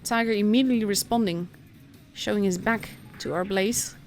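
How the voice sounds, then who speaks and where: Woman, Dutch accent, mid-pitched voice, casual game stream commentator, chatty and informal, music behind. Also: normal speed, darkish tone. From Netherlands. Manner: chatty, informal, reacting as play unfolds. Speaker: esports commentator and stream host.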